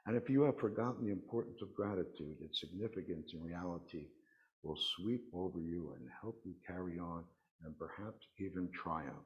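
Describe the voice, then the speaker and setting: Deep-voiced man reading, dull recording. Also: normal speed. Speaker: congregation member reading a vignette. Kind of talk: reading a personal reflection aloud at a worship service. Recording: dull, muffled lo-fi sound.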